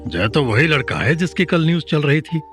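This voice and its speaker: A man's voice: a little high, sing-song, fairly quick, fairly bright tone, faint music behind. A man voicing a stern husband who gives brusque orders, in an audio drama. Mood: curt. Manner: curt, commanding.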